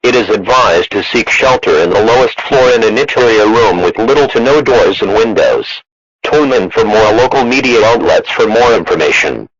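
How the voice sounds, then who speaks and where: A male voice playing an impassive automated emergency alert announcer, reading formal bulletins in a flat monotone. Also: fairly low pitch, moderate pace, no echo. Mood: impassive, official. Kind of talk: scripted emergency broadcast bulletin. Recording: dull lo-fi radio-alert sound, loud music.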